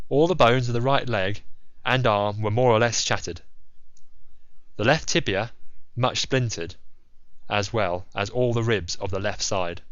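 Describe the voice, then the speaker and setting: A man reading scripted audiobook narration in a measured, formal and grave manner. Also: on the low side, no echo, muffled recording.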